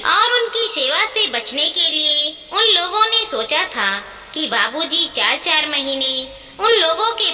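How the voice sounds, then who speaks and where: A woman narrating a scripted moral story in an expressive, earnest way. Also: sits high. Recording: echoey reverberant sound, clear background noise.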